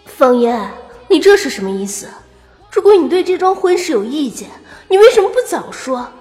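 A woman's voice: sits high, very animated, normal speed, darkish tone. A woman playing a proud, wilful young princess wounded in love in a Chinese historical audio drama. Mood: indignant. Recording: long reverb, faint music behind.

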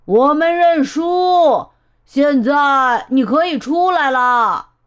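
A woman voicing a friendly, patient, playful storybook elephant with an expressive, teasing manner. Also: mid-range voice, measured pace. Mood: calling out.

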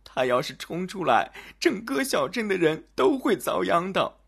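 Brief: cowardly, blustering master in a children's tale, done by a storyteller, theatrical and very animated. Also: medium pitch, moderate pace, vocal fry, husky, pitched above the performer's own voice, heavily acted. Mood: tearful pleading. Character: blustering barn owner turned tearful coward.

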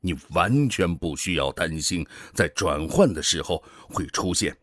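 A man acts a grave older space station director in a radio drama. The voice is very low and unhurried, earnest and cautioning, with expressive intonation and a dry, close sound. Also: no echo, full character voice. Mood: grave concern. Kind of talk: science fiction radio drama acting.

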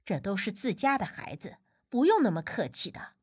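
Easygoing middle-aged mom for an audiobook woman reader: familiar, matter-of-fact, husky, dropped below narration pitch. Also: very animated, normal speed, no room sound, clean studio sound. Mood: reassuring. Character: warm, easygoing middle-aged mother, neighborly.

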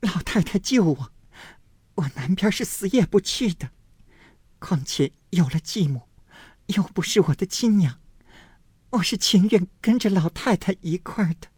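A frail young noblewoman begs through her tears, as a man puts her on in a storybook reading. Her voice rides high and swings widely, with a husky, breathy edge. It sounds close and dry. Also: expressive, measured pace, long pauses, darkish tone, dry recording, exaggerated voice. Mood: weeping, pleading. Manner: high pleading voice, sobbing appeals.

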